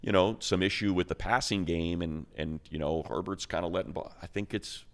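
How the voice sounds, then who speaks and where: A man's voice: deep voice, steady tone, fairly quick. A man working as a sports analyst, talking football casually in an emphatic, colloquial way with repeated phrases. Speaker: sports radio announcer and analyst.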